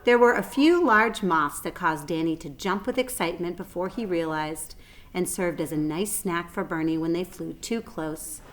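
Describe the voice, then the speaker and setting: A woman's voice: lowish voice, moderate pace. Woman reading a children's story aloud.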